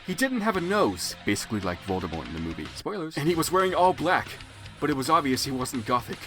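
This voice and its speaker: A man's voice: a little high, very animated, fast, fairly bright tone, faint music behind. A man narrating fan fiction as a comic dramatic reading, in a theatrical, exaggerated manner.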